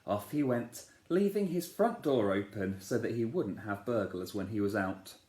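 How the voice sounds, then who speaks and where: A man, a teacher, reading a children's storybook aloud in a playful, expressive way, asking the listeners questions. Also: fairly low pitch, normal speed. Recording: echoey room.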